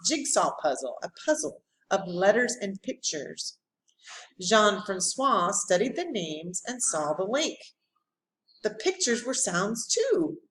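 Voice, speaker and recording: A woman reading a storybook aloud like a teacher, with deliberate, dramatic phrasing and pauses. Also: mid-range voice, fairly bright tone, rough recording. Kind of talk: expressive read-aloud of a children's history storybook.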